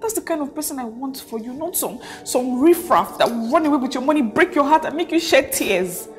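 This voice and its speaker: Emotional best friend: lively, rising and falling delivery, blunt, exclamatory, music underneath. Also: female voice, sits high, brisk. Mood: scolding, emphatic. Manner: exclamatory, repeats phrases for emphasis. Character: outspoken, emotional best friend, scolding yet consoling. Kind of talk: melodramatic film acting.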